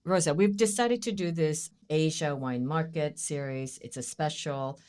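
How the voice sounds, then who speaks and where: A woman hosting a podcast interview, brisk and playful as she prompts her guest with questions. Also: deep voice, a little mellow, clear, resonant tone. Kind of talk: casual interview chat.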